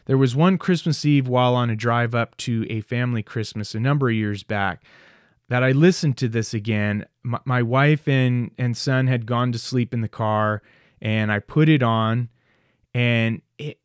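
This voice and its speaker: A man hosting a podcast, talking in a personal, reflective way with halting, sincere delivery. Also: medium pitch, clean tone, dry recording, clean studio sound. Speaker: podcast host. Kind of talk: personal, reflective solo talk.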